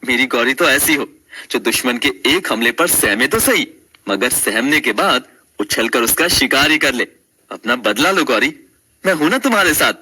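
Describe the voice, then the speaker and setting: A man playing a young husband in a family story, caring yet exasperated, emphatic and dramatic, with a husky voice. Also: mid-range voice, lively intonation, moderate pace, bright, forceful tone, slight background noise, rough recording. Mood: urging, supportive.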